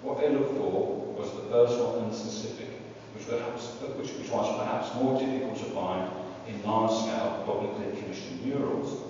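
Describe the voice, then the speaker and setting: A man with a lowish voice gives his thoughts on a panel, reflective and hesitant, often restarting sentences. He is caught from far back in a big echoey meeting room. The sound is dull and carries steady noise. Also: normal speed, hardly any pauses. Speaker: panel speaker, university professor. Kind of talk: unscripted panel talk. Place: large meeting room with audience. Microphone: far room camera. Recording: echoey hall, distant, dull, noisy.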